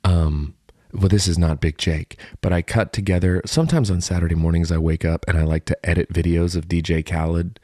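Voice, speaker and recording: A man's voice: sits low, fairly even intonation, a little fast, darkish tone, dry recording, clean studio sound. A man co-hosting a podcast with casual comedic banter, playful and given to repeating phrases. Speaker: podcast co-host.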